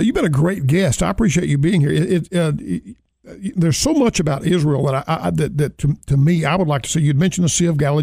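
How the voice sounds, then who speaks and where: Brief: friendly male radio host, gravelly, dry close studio sound. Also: on the high side, darkish tone, dry recording. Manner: friendly, conversational. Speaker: radio talk show host. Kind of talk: radio interview.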